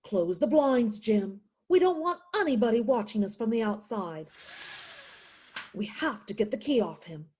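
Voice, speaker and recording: A woman's voice: a little high, lively intonation, moderate pace, warm tone, breathy edge. A woman playing a stubborn, indignant poor widowed mother in a theatrical radio play. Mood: urgent, bossy. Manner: indignant, insistent, dramatic.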